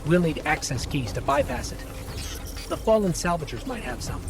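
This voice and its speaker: A man's voice: on the high side, sing-song, normal speed, background noise. A man voicing a small, earnest, helpful robot companion in a video game, precise and encouraging. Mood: focused. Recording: loud music behind.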